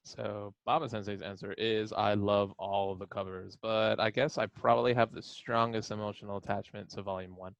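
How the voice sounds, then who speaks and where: A man's voice: fairly low pitch, flat delivery, moderate pace, vocal fry, few pauses, dry recording, lo-fi sound. A man chatting casually and reading out answers in an interview, playful and enthusiastic. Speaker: editor reading author's answers. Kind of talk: casual panel chat with read-out answers.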